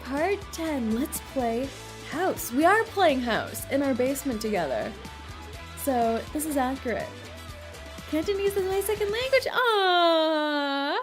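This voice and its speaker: A bright, high-pitched woman streamer talks casually over a game, joking and giggling as she reacts to the story. Soft game music plays faintly beneath her voice. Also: sing-song, slow. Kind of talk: casual gameplay commentary.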